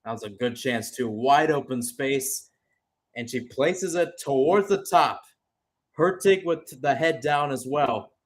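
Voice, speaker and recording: A man's voice: medium pitch, a little slow. A man giving live radio-style play-by-play commentary on a football match, with exclamatory phrasing and rhetorical questions.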